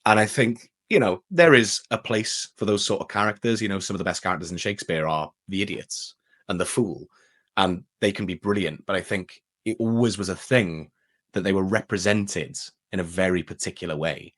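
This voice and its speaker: Welsh guy, kinda low voice, chatty interview, does little voices when quoting people. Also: normal speed. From Wales. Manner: animated, acts out quoted exchanges with a shifting voice. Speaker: voice actor, interview guest. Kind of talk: casual interview chat.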